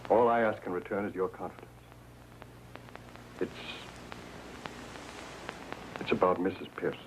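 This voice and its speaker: A formal, troubled gentleman employer played by a male actor, low in pitch. He talks politely and hesitantly in an old crime drama, over faint soundtrack noise. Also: brisk, lightly acted, poor audio. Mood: formal, confiding. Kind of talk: 1950s crime drama acting.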